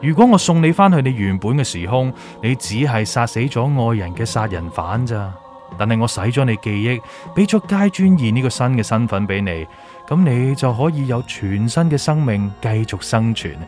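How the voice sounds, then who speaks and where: A man's voice: mid-range voice, very animated, darkish tone, natural voice. Guy playing smug taunting bad guy boss, hong kong cantonese, voice swoops all over, music underneath. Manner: theatrical, taunting, drawn-out emphasis, drops in English words. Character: gloating, manipulative villainous male official, mocking. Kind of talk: Cantonese audio drama acting.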